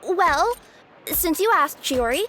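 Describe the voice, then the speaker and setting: A woman's voice: animated, takes it slowly, fairly bright tone, slight background noise, full character voice. A woman voicing a sweet, shy young cat-girl courier in a video game, girlish, hesitant and easily flustered. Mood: sheepish. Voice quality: high, girlish.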